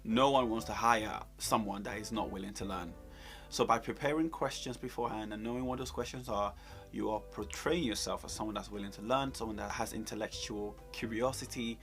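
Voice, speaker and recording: A man's voice: lowish voice, brisk, fairly bright tone, soft background music. A man co-hosting a career advice talk, coaching conversationally and emphatically, repeating points to drive them home. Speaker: co-host giving job interview advice.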